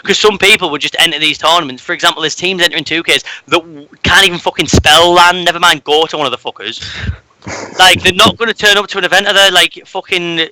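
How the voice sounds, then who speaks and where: A man's voice: high voice, steady tone, fast talker, sharp tone, dry recording, poor audio. A man rambling and swearing freely while hosting a casual gaming podcast. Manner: rambling, sweary, restarts a lot. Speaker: podcast host. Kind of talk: casual esports podcast chat.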